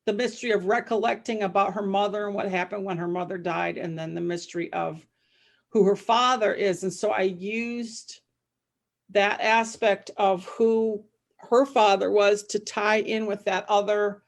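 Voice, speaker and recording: A woman's voice: mid-range voice, fairly even intonation, normal speed, gravelly. A woman interview guest, an author and psychologist, talking casually and circling back mid-sentence.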